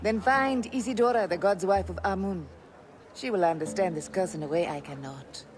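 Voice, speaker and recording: An actor playing a calm, wary informant in a video game, speaking in a measured way. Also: medium pitch, natural voice. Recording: game audio with music beneath.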